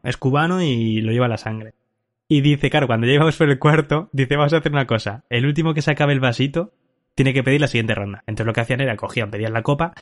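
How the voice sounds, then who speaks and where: A man hosting a casual podcast, telling a drunken travel anecdote with frequent laughs and swearing. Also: medium pitch. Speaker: podcast host. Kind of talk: casual chat, storytelling.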